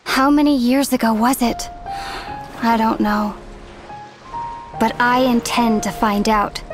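Game heroine: young, solemn, measured narration, fairly high, music underneath. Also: female voice. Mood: wistful, resolute. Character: young woman traveler, calm, resolute, wistful. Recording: long hall reverb.

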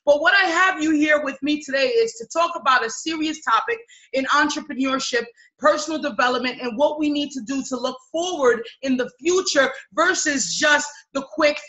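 A high, bright-voiced woman hosting an interview over a webcam from her office. She opens with a showy introduction and speaks with lively, gesturing energy. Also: normal speed, low-quality recording. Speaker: interview host. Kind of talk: hosted interview on a video call. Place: office with whiteboards. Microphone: laptop webcam on a video call.

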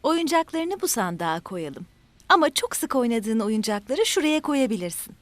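A woman playing a patient, affectionate young mother in a children's cartoon, speaking in a gentle, encouraging, instructive way. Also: on the high side, very animated, normal speed, no echo.

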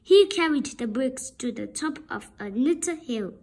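A woman reading a children's story aloud with deliberate, expressive narration. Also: high-pitched. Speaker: storybook reader.

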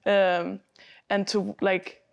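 A woman's voice: medium pitch, flat delivery, very slow, creaky, clean tone, muffled recording. Young woman student, mid voice, rambly, says like and you know a lot. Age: young adult. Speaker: student co-host. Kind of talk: panel discussion. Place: indoor library room with bookshelves.

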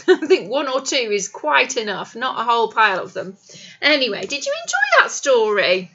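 A woman's voice: a little high, very animated, normal speed, fairly bright tone, dull audio. A middle-aged woman, a teacher reading a picture book expressively to young children and coaxing them with questions. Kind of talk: animated storytime read-aloud for young children. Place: small classroom, indoors.

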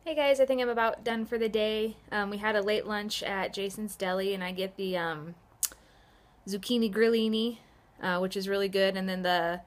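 A woman's voice: mid-range voice, fairly even intonation, normal speed. A woman in her thirties with an American accent, chatting casually and rambling a little as she vlogs. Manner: informal, conversational, rambling. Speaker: vlogger. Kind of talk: casual vlog chat. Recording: clearly noisy phone video sound.

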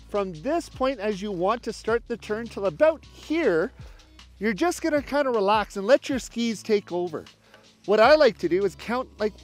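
A man giving step-by-step ski instruction outdoors, explaining a demonstration to camera. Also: sits high. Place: outdoors on an open snowy mountain ski slope.

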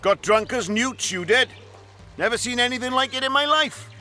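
A cagey townsman for a fantasy game. His voice is high and sharp, taunting and evasive, with faint music behind. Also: moderate pace, heavily acted. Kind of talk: video game voice acting.

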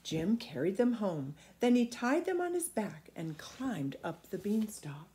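A middle-aged woman reading a picture book aloud to children in expressive narration, recorded in a room at home. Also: medium pitch, measured pace. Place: small indoor room at home.

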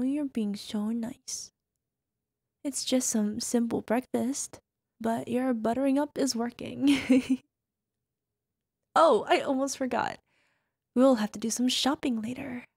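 Woman acting as playful affectionate wife, ASMR roleplay, flirty, breathy asides, touch of fry, close dry sound. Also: fairly high pitch, fairly even intonation, takes it slowly, long pauses, a little mellow, dry recording, heavily acted. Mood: playful, teasing. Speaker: voice actor.

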